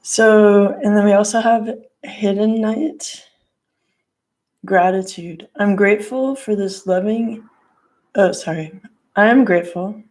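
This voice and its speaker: A hoarse woman with a gravelly voice doing a casual tarot and crystal card reading in her living room, rambling with lots of fillers. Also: medium pitch, slow pace, long pauses, darkish tone. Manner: rambling, lots of "like" and "you know".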